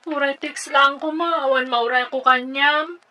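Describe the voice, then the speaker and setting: A woman's voice: sits high, fairly even intonation, a little slow, sharp tone, recorded from a distance. An actress playing a whiny, nagging girlfriend on a phone call in a comedy radio drama. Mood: sulky, complaining.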